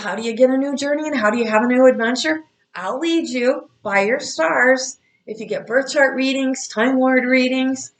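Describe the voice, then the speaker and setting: A woman in her fifties talks to her audience as an astrology coach. Her voice sits a little high, and she lectures in a blunt, scolding, motherly way. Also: low-quality recording. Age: 50s. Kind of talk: direct-to-camera advice talk.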